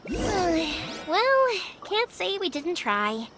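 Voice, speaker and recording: A spirited young game heroine, speaks in a high voice. The delivery is earnest, warm-hearted and expressive, with clear music underneath. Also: female voice, extremely slow, heavily acted. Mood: resigned, reassuring. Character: warm-hearted, spirited young woman, fireworks maker's daughter. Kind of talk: video game voice acting.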